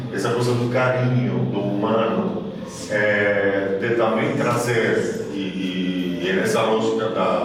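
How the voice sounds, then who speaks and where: A man from Cuba giving halting remarks at an art opening, with many fillers and restarts. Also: on the low side. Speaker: exhibition visitor giving remarks. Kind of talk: spoken tribute at an art opening. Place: art gallery. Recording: echoey gallery, distant mic, crowd behind.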